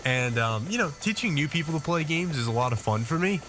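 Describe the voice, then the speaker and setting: A man's voice: fairly high pitch, lively intonation, a little fast, a bit bright, soft background music. A man casually commenting on his gameplay as a video host, rambling with many fillers and restarts, his nose a bit congested.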